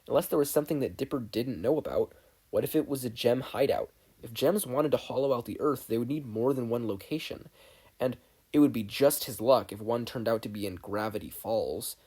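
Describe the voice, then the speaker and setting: A podfic narrator reading a scripted story in an expressive way. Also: medium pitch, slightly rough.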